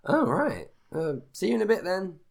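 A man's voice: high-pitched, big pitch swings, moderate pace, creaky, heavily acted. A man acting an anxious, eager-to-please, social-climbing husband in a comedy audio drama, hesitant and stammering. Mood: casual.

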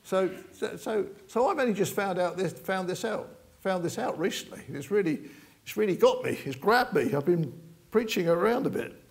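A man's voice: high voice, expressive, moderate pace, vocal fry. A man preaching an informal sermon in a chatty, joking way, rambling with many restarts.

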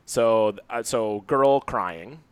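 A man's voice: fairly low pitch, very slow, clean tone, no room sound. A man co-hosting a podcast, telling rambling, profane stories in casual comedic chat. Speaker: podcast co-host.

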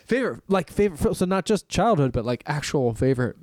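A man's voice: on the high side, big pitch swings, quick pace, darkish tone. A man co-hosting a podcast in casual chat, rambling and laughing often as he trails off mid-thought. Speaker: podcast co-host.

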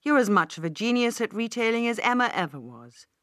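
A courteous older British business magnate voiced by a woman reading an audiobook. He speaks in a measured, gracious, formal way, like a fond uncle. The recording is very dry. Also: a little high, very animated, fairly bright tone, no echo, voice pushed up. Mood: warmly admiring.

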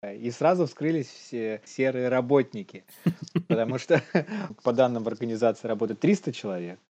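A man's voice: medium pitch, lively intonation, moderate pace, dark tone. A man co-hosting a podcast, telling stories casually and reading out news items, on slightly muffled audio. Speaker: podcast co-host.

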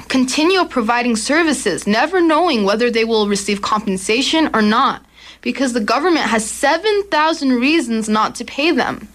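A teenage girl reading her own commentary for a youth radio program in an earnest, steady way. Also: fairly high pitch. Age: teens. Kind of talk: read commentary.

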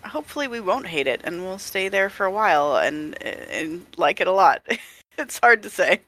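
Chatty podcast co-host w bright punchy voice, dead dry mic, laughs a lot. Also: medium pitch, lively intonation, moderate pace, no room sound. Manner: chatty, laughs often, self-deprecating. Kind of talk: casual chat.

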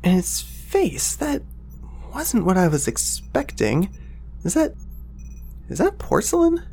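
A man's voice: high-pitched, wildly swooping pitch, takes it slowly, vocal fry, darkish tone, breathy edge, full character voice. A flustered young male office assistant acted by a man in an audio drama. His voice swings up and down as he hesitates politely and keeps sounding apologetic. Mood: amazed, hesitant.

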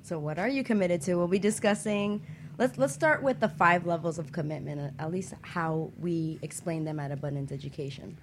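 Female co-host, lower pitch, lays out the topic briskly. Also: slight background noise. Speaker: talk show co-host. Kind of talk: explaining show topic.

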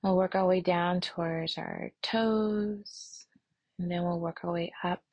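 A woman leading a guided meditation and prayer as an instructor, speaking softly and soothingly with long, unhurried pauses. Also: lowish voice, fairly even intonation, dry recording.

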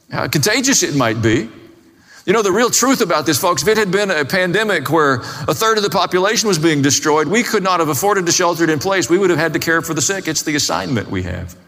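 A male pastor delivers a sermon with long reverb around his voice. His pitch is high for a man. He explains things plainly, as a teacher to his congregation. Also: fairly bright tone. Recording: long reverb of a large hall.

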